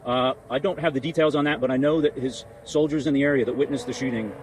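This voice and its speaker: An American man, an army general, giving formal, halting answers with repeated words at a press conference. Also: mid-range voice, fairly even intonation, darkish tone, slight background noise, rough recording.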